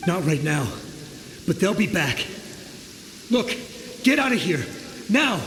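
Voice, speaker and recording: Terrified game ghost soldier; high male voice, halting, pleading, roomy echo. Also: very animated, full character voice. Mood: despairing. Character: desperate, frightened young male soldier's ghost. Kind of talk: anime game voice acting. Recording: echoing, noisy, with rain and thunder behind.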